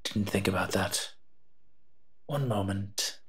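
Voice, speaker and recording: Casting: male actor for teasing monster detective's partner in audio roleplay; unhurried, husky delivery, very dry close sound. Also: low voice, no echo, full character voice. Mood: wry, impatient. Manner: slow, teasing, with hums and pauses. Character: wry, dark-clad male monster partnered with a human detective. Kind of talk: roleplay audio drama acting.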